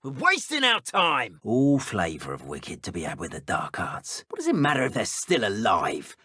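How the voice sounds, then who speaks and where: An actor voicing a menacing, petty and cruel British dark wizard henchman in a video game, with a theatrical, taunting delivery. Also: mid-range voice, wildly swooping pitch, normal speed, breathy edge, dry recording, clean studio sound, heavily acted. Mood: cold. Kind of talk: video game enemy voice lines.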